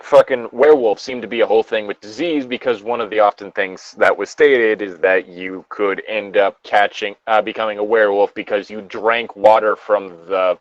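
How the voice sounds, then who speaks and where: A man's voice: fairly low pitch, no echo, lo-fi sound. A man co-hosting a podcast, chatting casually and giving opinions on horror in a rambling, sweary way. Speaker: podcast co-host.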